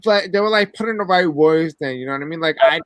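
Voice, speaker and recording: A man hosting a live tarot chat on a video call, excitably reading out viewer comments. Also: a little high, quick pace, no echo, clean studio sound. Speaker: host of the channel, tarot reader.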